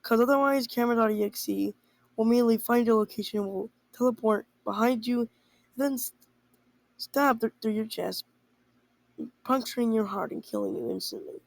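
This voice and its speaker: A young teenager with a creaky voice, making an announcement in an amateur fan drama. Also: mid-range voice, fairly quick, low-quality recording. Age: teen.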